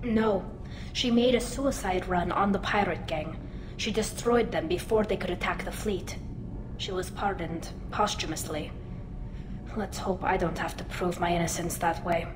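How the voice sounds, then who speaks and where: A woman's voice: fairly low pitch, normal speed, background noise, natural voice. Woman acting earnest young alien woman, roomy sound. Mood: somber, explaining. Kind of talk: dramatic video game dialogue.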